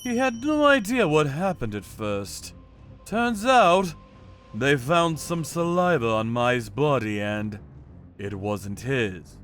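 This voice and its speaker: Guy narrating a manga revenge story, calm but menacing, really animated pitch, slow delivery. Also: faint music behind. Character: vigilante male torturer, calm, righteous, menacing. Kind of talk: dramatic first-person scripted narration. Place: studio voice-over with music behind.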